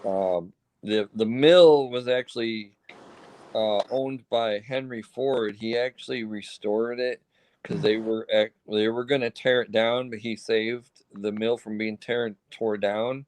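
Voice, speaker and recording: A man from Michigan hosting a casual group video call, telling a story in a halting, rambling way. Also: fairly low pitch, steady tone, darkish tone. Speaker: host.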